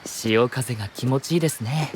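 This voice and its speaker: An actor plays a polite, gentle, composed young male knight in an anime audio drama, using formal courteous speech. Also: mid-range voice, normal speed, a little hiss or hum, close to an everyday voice. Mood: courteous, interested.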